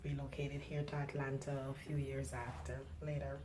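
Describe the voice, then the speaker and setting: Middle-aged woman from Guyana, low voice, soft and reflective, halting, faint hiss. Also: little pitch movement. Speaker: nurse, interview guest. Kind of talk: personal interview.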